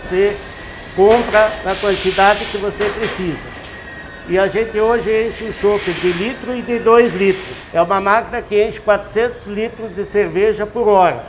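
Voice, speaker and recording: A man, a master brewer explaining his craft in an unhurried, folksy, instructive way. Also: high voice, fairly even intonation, in a large hall, background noise. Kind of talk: explanatory interview answers.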